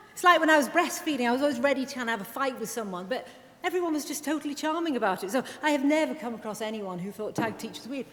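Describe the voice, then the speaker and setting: A woman speech and language therapist giving a chatty conference presentation, full of quick asides and self-deprecating remarks. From Wales. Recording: reverberant hall with faint rumble.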